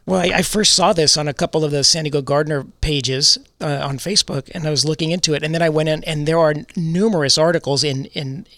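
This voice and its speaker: New male co-host, bright and a bit high, dry studio sound; casual, wry explainer. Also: fairly even intonation, quick pace, few pauses, no room sound. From New York. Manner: explanatory, good-humored, fond of wry jokes. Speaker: radio garden show co-host. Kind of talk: casual radio chat. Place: radio studio.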